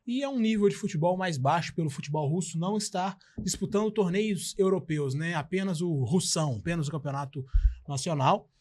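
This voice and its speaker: A man's voice: a little high, brisk, darkish tone. A Brazilian man co-hosting a sports talk show, musing along with fillers and trailing sentences. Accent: Brazilian Portuguese.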